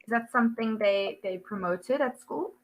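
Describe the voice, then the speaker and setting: A young woman with a South African accent talks through an online English lesson over a video call. Her voice sits a little high and she chats freely, laughing often and filling her sentences with ums and likes. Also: normal speed. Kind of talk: casual one-to-one lesson chat.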